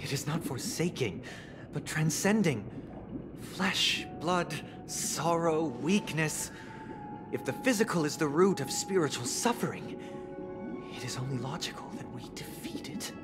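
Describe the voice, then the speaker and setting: An actor playing a calm, grandiose leader in a video game, with a solemn, eloquent and deliberate delivery. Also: male voice, fairly high pitch, loud music behind the voice. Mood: fervent. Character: calm, grandiose male leader preaching a dream paradise. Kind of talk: video game cutscene acting.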